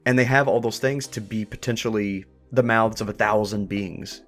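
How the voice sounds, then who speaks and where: A man's voice: lowish voice, normal speed, a bit bright, soft background music. A man hosting a thoughtful interview conversation, speaking in a reflective, wordy way as he talks ideas through. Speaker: podcast host interviewing creators.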